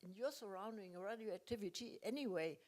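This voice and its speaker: A woman with a German accent and a mid-range voice moderates a panel. She speaks insistently off the cuff, in halting English as a second language. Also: medium pitch. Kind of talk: impromptu advice to an audience. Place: indoor conference.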